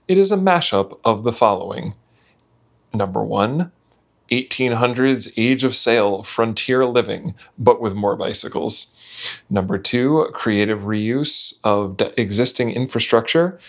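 A man reading a written text aloud in a measured, enunciated way for a podcast. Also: medium pitch, fairly bright tone. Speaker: reader of a manifesto. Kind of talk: scripted reading of a numbered manifesto. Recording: dull, lo-fi podcast audio.

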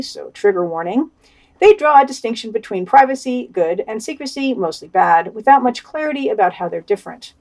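A woman's voice: medium pitch, a little mellow, far from the mic. A woman reading scripted podcast commentary in an informal, opinionated manner. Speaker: podcast reader.

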